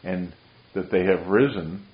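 Deep-voiced preacher in a roomy, dull recording. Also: male voice, unhurried. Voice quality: carrying voice. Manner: earnest, rambling, preacherly. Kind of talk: sermon.

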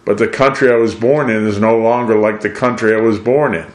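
A man's voice: fairly low pitch, fairly even intonation. Older American man, echoey room. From New England, USA. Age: 60s or older. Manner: deliberate, emphatic, rhetorical questions. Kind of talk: preachy monologue with read-out quotations. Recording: roomy, echoing voice.

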